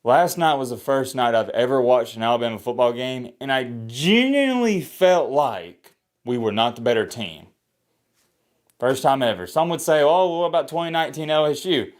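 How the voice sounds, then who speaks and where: Animated young man. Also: normal speed. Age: 20s. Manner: animated, rising pitch when emphatic, sighing. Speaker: sports commentator and fan vlogger. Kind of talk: rambling casual commentary to camera.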